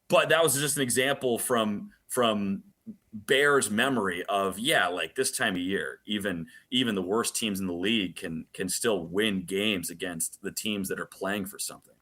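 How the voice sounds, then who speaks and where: Bright-voiced man talking sports. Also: on the low side. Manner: halting, with repeated words and colorful sports slang. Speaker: radio play-by-play announcer as guest. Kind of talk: casual sports radio interview talk.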